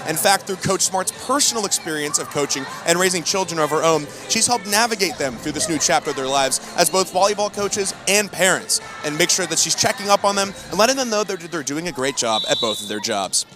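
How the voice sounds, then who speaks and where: Brief: young male sideline reporter, high and rapid, loud music behind him. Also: expressive. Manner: brisk, informative delivery.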